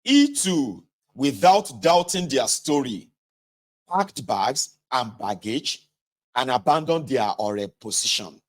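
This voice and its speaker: A man's voice: medium pitch, very animated, takes it slowly. A man with a Nigerian accent reading a book aloud and adding conversational asides, speaking directly to listeners and sometimes correcting himself. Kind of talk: read-aloud narration with casual asides.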